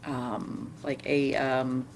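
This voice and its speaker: A woman with a notably low voice talks as a panelist in an unscripted discussion. She speaks in a halting, hesitant way, feeling for the right words as she goes. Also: flat delivery, slight background noise. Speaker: panelist, stage character actor.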